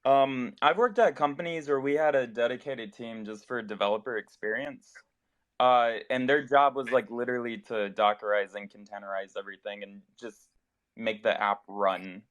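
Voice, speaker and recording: A man's voice: mid-range voice, normal speed, fairly bright tone, dull audio. A man speaking casually in a tech discussion, as a developer on a panel.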